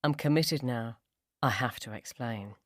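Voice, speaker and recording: A woman's voice: a bass voice, measured pace, no room sound, clean studio sound. A woman reading a first-person short story in a wry, conversational and sardonic way. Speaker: story narrator.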